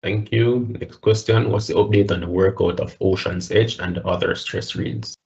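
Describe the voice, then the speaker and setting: On slightly muffled, lo-fi call audio, a man moderates an earnings call. His voice is low with plenty of creak as he reads out each question. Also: fairly even intonation, normal speed, a little mellow.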